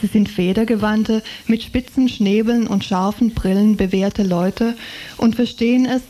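A woman's voice: mid-range voice, fairly even intonation, unhurried, dark tone. A woman reading excerpts from a novel aloud in a literary style. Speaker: reader of book excerpts.

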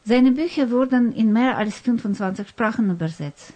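A woman co-hosting a radio programme, reading a prepared script somewhat haltingly. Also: a little high, lively intonation, a little mellow, rough recording. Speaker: radio co-host and interviewer. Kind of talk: scripted radio presentation in German.

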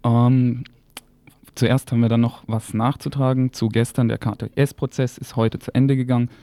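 A man's voice: medium pitch, level tone, moderate pace, warm tone, no room sound. A man reading scripted news commentary as a community radio presenter in a studio. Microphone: single shared studio microphone.

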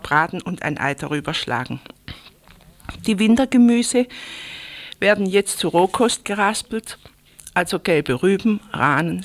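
A woman presenting scripted morning radio tips in a medium-pitched voice.

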